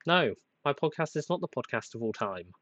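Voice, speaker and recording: A young man hosting a casual solo podcast, rambling and self-deprecating with many hesitations, a plain somewhat flat voice, getting over a cold. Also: medium pitch, a little fast, dry recording, lo-fi sound. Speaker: podcast host.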